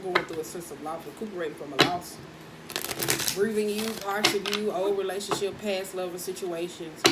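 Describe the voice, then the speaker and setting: A woman with a husky voice doing a casual, chatty tarot reading, speaking in with laughs and slangy asides. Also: on the low side, fairly even intonation, normal speed, very noisy. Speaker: tarot reader.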